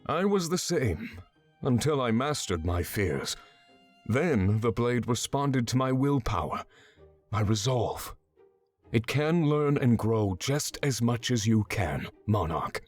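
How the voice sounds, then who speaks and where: An actor playing a stern, exacting swordsman mentor in a fantasy visual novel game, giving measured, commanding instruction. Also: medium pitch, animated. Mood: calm, resolute. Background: background music.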